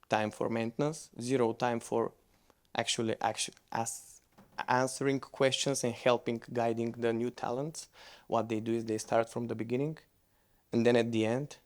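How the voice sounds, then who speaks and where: Male presenter, English, relaxed, conversational, stumbles and restarts mid-sentence. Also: mid-range voice. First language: Bulgarian. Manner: loose, conversational, with frequent restarts. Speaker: conference speaker. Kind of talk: conference presentation with Q&A.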